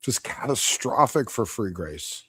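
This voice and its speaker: Blunt, profane man with slightly hoarse voice. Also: fairly low pitch, expressive, a little slow, a bit bright, no room sound. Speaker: debate reviewer streaming live. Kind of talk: casual livestream commentary.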